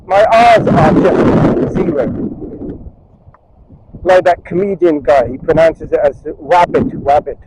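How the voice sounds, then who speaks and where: Travel vlogger, man, slightly high voice, chatty and wandering, chest-cam audio with heavy wind noise. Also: slow pace. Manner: chatty, wandering asides, talks to viewers. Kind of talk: rambling walking vlog chat. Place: outdoors on a windy beach. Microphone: chest-strapped action camera mic. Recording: wind noise on a body camera mic.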